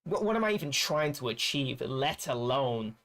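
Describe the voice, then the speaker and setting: A man's voice: mid-range voice, sing-song, normal speed, a bit bright. A man chatting casually on a stream, rambling and restarting his sentences as he searches for words. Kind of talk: casual chat while gaming.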